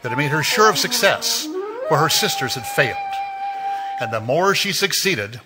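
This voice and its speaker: An actor narrating as a mysterious man who walks by night, in slow, ominous, measured 1940s radio drama style. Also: a little high, very animated, poor audio. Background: music.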